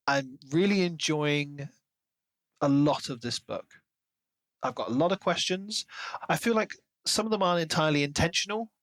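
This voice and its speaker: A man co-hosting a casual book discussion, speculating in an expressive, wordy way. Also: medium pitch, no echo. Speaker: podcast co-host discussing a novel. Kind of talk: casual book-club chat.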